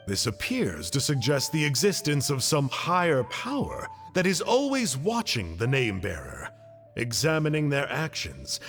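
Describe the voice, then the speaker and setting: Expressive, bookish game character. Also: male voice, medium pitch. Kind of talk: video game character acting, scripted reading.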